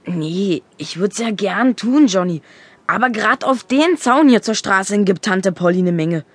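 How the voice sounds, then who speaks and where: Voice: medium pitch, expressive, unhurried, husky, dry recording. A performer playing a cheeky, crafty young boy with a nonchalant, sly manner in a German children's audio drama. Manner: nonchalant, sly, feigning innocence.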